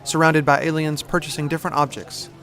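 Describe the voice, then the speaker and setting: A man's voice: fairly high pitch, level tone, quick pace, a bit bright. A man reading scripted, descriptive narration for an audio drama. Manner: descriptive, present-tense storytelling. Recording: music behind narration.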